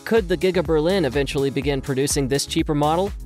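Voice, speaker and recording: A man's voice: fairly high pitch, fast talker, a little mellow. Male explainer narrator over music. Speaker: video narrator. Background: background music.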